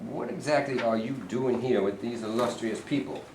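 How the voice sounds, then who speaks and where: A man plays a sly state governor with a mid-range voice, smooth and patronizing, always dodging the question. It sounds like a live staged reading in an echoey room, with faint hiss behind it. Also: mid-range voice, moderate pace, a little mellow, lightly acted. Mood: evasive. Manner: evasive, patronizing, mock-genial.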